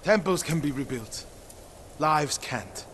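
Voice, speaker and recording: An actor playing an earnest, devout warrior monk of feudal Japan in a video game, speaking solemnly and with feeling. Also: male voice, on the high side, animated, slow, a bit bright, slight background noise. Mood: resolute. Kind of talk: dramatic video game acting.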